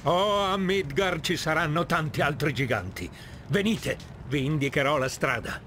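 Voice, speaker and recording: Un attore che interpreta un fabbro nano della mitologia nordica, supplichevole, entusiasta e teatrale, in un filmato di videogioco. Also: male voice, fairly high pitch, animated, normal speed, a little hiss or hum. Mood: eager. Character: eager, pleading male dwarf smith of Norse myth. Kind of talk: video game cutscene acting. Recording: loud music under the voice.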